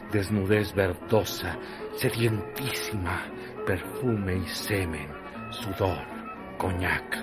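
A man narrating a radio novel in a slow, measured and intense way. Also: on the low side. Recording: narration over loud music.

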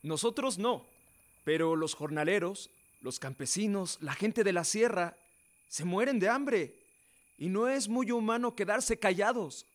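A man's voice: high-pitched, animated, unhurried. A man acting in a radio drama as an idealistic young cousin in a colonial family, earnest and articulate. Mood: earnest, indignant. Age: young adult. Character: idealistic young male cousin, sympathetic to independence.

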